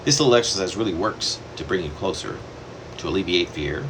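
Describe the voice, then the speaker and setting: A man reading his own long poem aloud in a wandering, self-correcting way, with sudden leaps in pitch. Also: lively intonation, brisk, background noise. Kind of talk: poetry reading.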